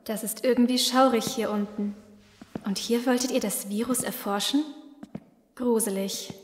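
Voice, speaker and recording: A woman's voice: a little high, steady tone, moderate pace, close to an everyday voice. A woman playing a naive, uneasy survivor in a German audio drama. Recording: echoing reverberant hall.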